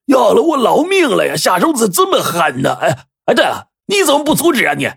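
A man's voice: high voice, huge pitch swings, gravelly, a bit bright, slightly rough, dry recording, clean studio sound, pitched above the performer's own voice, full character voice. Man acting a howling, foul-mouthed older man. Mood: wailing outrage. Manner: wailing, cursing, drawn-out outbursts.

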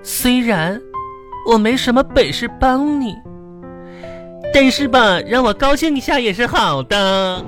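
Radio comedy: woman as vain, chatty drama queen, Northeastern Chinese accent, very animated pitch, music underneath. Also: measured pace. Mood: mock wistful. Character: melodramatic, vain, self-proclaimed delicate young woman, chatty and comic. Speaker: radio comedy host and performer. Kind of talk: comedic radio play acting.

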